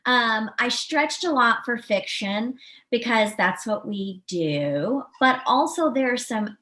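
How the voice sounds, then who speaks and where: A woman's voice: a little high, lively intonation, takes it slowly, fairly bright tone. A woman speaking as an interview guest, informal and conversational, thinking aloud as she answers. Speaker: novelist being interviewed as podcast guest.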